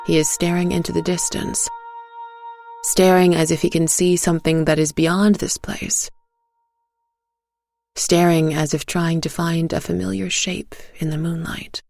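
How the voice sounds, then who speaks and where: A woman narrating a scripted dark story in the first person, in a measured, deliberate way. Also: on the low side, level tone, gravelly, soft background music. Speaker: narrator of an audio fiction story.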